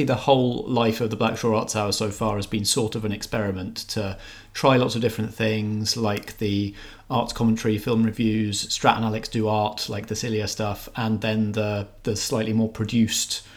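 Guy podcast co-host, slightly low voice, chatty, explains stuff with dry jokes. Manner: explanatory, dryly humorous. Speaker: arts podcast co-host and film reviewer. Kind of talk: casual podcast chat.

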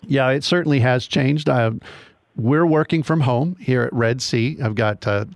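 A man's voice: medium pitch, unhurried, dry recording. A man chatting casually on a radio show from the studio, easygoing and self-deprecating. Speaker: radio station staff, co-host.

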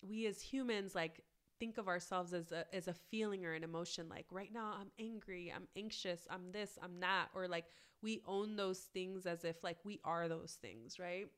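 A woman guest on a podcast, talking casually with lots of "like" fillers, her voice raspy from a cold. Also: lowish voice, steady tone, normal speed. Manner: chatty, many "like" fillers. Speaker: guest, plant-based cookbook author.

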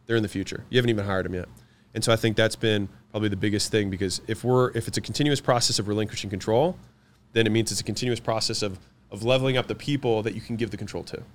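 A man whose first language is French, answering interview questions about running companies, confident and quick, listing his points one after another. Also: on the low side, slight background noise. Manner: fast, confident, lists points in quick runs. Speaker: guest, business owner.

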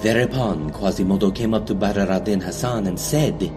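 A man's voice: lowish voice, moderate pace, over music. A man narrating a scripted dramatic tale in a formal, archaic, theatrical storytelling manner.

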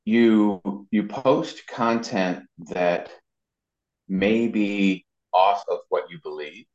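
A man's voice: on the low side, extremely slow, darkish tone. A man giving casual explanations as an interview guest, often repeating words as he goes. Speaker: interview guest, web marketing consultant. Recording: roomy echo, dull muffled sound.

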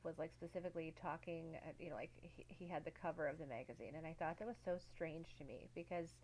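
A woman's voice: deep voice, steady tone, fast, a little mellow, slight background noise, poor audio. A woman co-hosting a casual podcast, talking reflectively with many "like" and "um" fillers. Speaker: podcast co-host.

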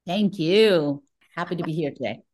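A woman's voice: on the low side, normal speed, a little mellow. A middle-aged woman from Nepal speaking reflectively as a guest in a conversational interview, often checking in with short tag questions. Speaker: podcast guest, tech professional.

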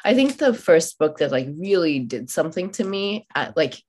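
A woman's voice: sits low, very animated, moderate pace, a little mellow. A young woman author who grew up in Oman, Malayalam her first language, talking thoughtfully in an interview with many fillers and restarts. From India, raised in Oman. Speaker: author guest. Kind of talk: podcast interview.

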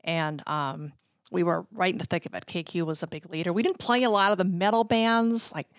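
A middle-aged American woman reminiscing casually as a podcast guest, telling stories in an animated way and often interrupting herself. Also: on the low side, brisk, no echo. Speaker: podcast guest, former radio promotions worker.

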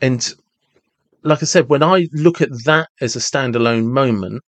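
Forties male podcast host, animated, self-interrupting, dry close sound. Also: medium pitch, normal speed, no room sound. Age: 40s. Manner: animated, restarts and repeats words when reasoning aloud. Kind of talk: casual conversation.

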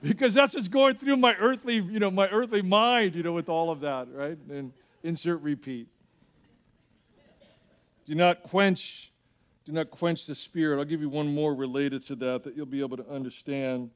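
A man preaching as a pastor in an animated, conversational way, repeating phrases for emphasis and telling humorous personal stories. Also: on the high side, normal speed, clear, resonant tone. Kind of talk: sermon with personal anecdotes and acted-out dialogue.

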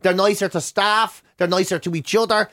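A man's voice: high-pitched, fairly even intonation, fairly quick, a bit bright. An Irish man hosting a radio show with comic, mocking banter, often repeating words for effect. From Ireland. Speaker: radio host.

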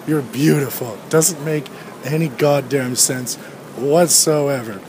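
A man's voice: medium pitch, slow, darkish tone, low-quality recording. Profane ranting man out on the street. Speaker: podcast host. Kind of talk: solo rambling podcast rant. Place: outdoors on a street. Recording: street noise with passing traffic.

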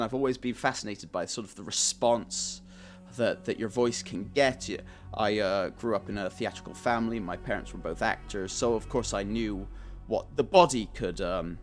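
A man with a fairly low voice speaks informally as a voice actor giving a testimonial. His phrasing is hesitant and halting, and soft music sits behind him. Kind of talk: informal self-introduction testimonial. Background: faint music.

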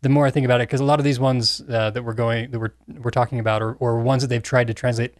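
A man with an average-pitched voice talks casually as a podcast panelist. He explains his thoughts in a measured, reflective way. The recording is dry and free of room sound. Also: flat delivery, no room sound. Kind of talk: casual film and game discussion.

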